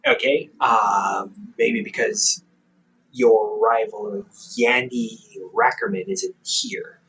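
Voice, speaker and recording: Caring, stubborn big brother, played by a young man, audio roleplay: unhurried delivery, animated melody, softly teasing and hesitant. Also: lowish voice, full character voice, poor audio. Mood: probing, concerned. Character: caring, teasing older brother, around twenty, gently stubborn. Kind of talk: intimate audio roleplay, one-sided conversation.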